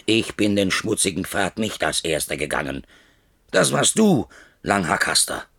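A proud, humbled old chief speaks in a low, husky, gravelly voice. His delivery is grave and halting, often hushed, in a closely recorded, dry radio play. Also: sing-song, dry recording, exaggerated voice. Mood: grave, reproachful. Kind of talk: radio drama acting.

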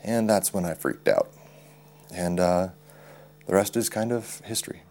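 A man's voice: low voice, expressive, unhurried, creaky, heavy background noise. An American man giving an informal, blunt eyewitness recollection in colloquial speech. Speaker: eyewitness and former student giving an account.

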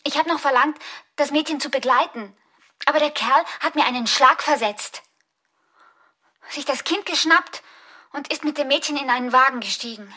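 An actress playing a cool, self-possessed woman in a German radio crime drama, speaking deliberately and matter-of-factly, sometimes almost whispering. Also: high voice, sharp tone, dry recording, clean studio sound, far from the mic. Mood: defensive.

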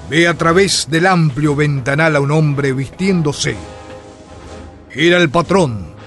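A man narrating a radio drama with solemn, theatrical, measured phrasing. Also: fairly high pitch, fairly bright tone. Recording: studio radio drama with music underneath.

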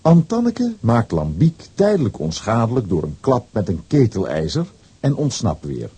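A man narrating a scripted children's audio story with a husky voice and wry asides. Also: lowish voice, wildly swooping pitch, normal speed, dark tone.

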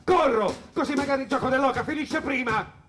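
A man's voice: very high voice, fairly quick, a bit bright, soft background music. An actor playing a fed-up aging man in an urgent, exasperated theatrical monologue. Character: fed-up aging man racing toward his end.

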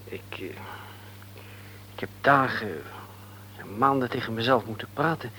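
An actor playing a middle-aged devout friend in a radio play, speaking quietly and calmly as he gives counsel. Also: mid-range voice, measured pace, slight background noise. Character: calm devout friend, grief-tested middle-aged man. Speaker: friend offering comfort.

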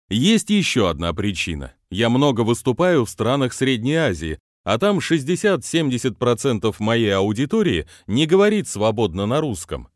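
A man's voice: mid-range voice, dry recording, studio quality. A man, a business trainer, reading his own motivational book aloud with non-native pronunciation and an earnest manner.

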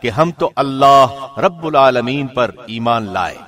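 A man with a mid-range voice reads a translation of scripture. His delivery is formal and measured, with the careful enunciation of a radio presenter working from a script. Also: medium pitch, animated, fairly bright tone.

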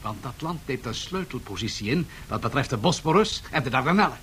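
An actor playing a cynical, commanding financier in a satirical radio drama, husky and lecturing with great assurance. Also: male voice, mid-range voice, expressive, fairly quick, lightly acted. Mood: self-assured.

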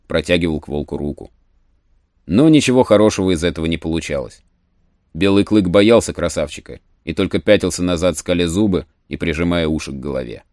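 A man narrating an adventure audiobook in a measured, literary storytelling manner. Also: sits low, darkish tone, no room sound, studio quality.

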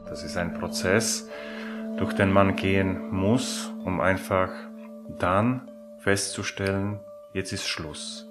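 A man recalling his own life in a reflective, halting and deliberate way. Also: deep voice, steady tone. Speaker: interviewee telling own life story. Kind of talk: slow reflective personal recollection. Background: music.

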